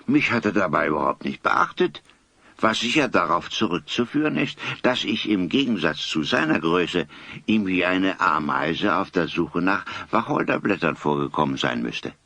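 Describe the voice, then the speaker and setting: A husky, low-voiced old grandfather in a radio play. He recounts solemnly in ornate, old-fashioned phrases, with a melody that rises and falls dramatically. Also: animated, normal speed, bright, forceful tone, no room sound, full character voice. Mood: grave, recounting. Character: solemn old grandfather, vindicated eyewitness storyteller. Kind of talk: German audio drama acting.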